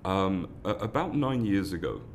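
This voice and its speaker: A man's voice: low voice, very animated, moderate pace, a little hiss or hum. A man, a foundation founder giving earnest interview answers, often restarting and repeating words. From London, England. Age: 30s.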